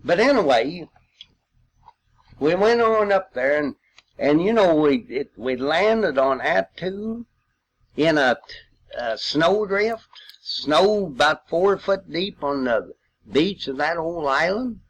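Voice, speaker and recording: Older male veteran, rural Missouri accent. Higher-pitched voice, very unhurried, folksy storyteller. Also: animated. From Missouri, United States. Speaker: World War II veteran storyteller. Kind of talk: rambling personal reminiscence.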